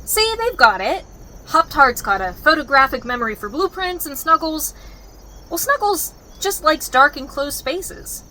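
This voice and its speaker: An actress playing a chirpy, playful, exuberant space crew member in a sci-fi comedy audio drama. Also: female voice, high-pitched, sing-song, unhurried, background noise. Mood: playful enthusiasm.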